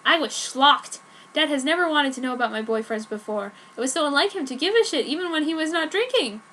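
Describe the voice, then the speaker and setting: Bright, high-pitched woman reading a story. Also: expressive, a little fast. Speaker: fan fiction reader. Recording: noticeable background noise.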